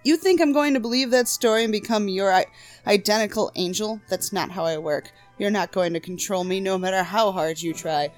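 A snappish, rebellious young teenage girl who brushes everyone off, as a woman puts her on while reading a story aloud. Her voice sits in an ordinary middle pitch. She talks back with curt, dismissive lines. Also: a little fast, soft background music, close to an everyday voice. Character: rebellious thirteen-year-old girl, sharp-tongued.

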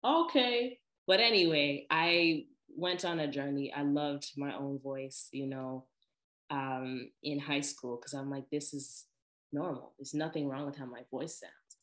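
A young woman with a deep voice, chatting casually and telling personal stories to her viewers, with frequent laughs and lively asides. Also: unhurried, reverberant. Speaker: vlogger. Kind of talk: casual personal storytelling to camera.

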